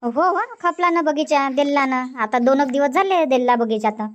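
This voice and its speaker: A woman's voice: high-pitched, moderate pace, close to an everyday voice. A woman voicing a bossy, chatty village farm woman in a cartoon comedy, insistent and scolding, with a Varhadi Marathi accent from Vidarbha. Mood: chatty, grumbling. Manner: insistent, scolding, rhetorical questioning.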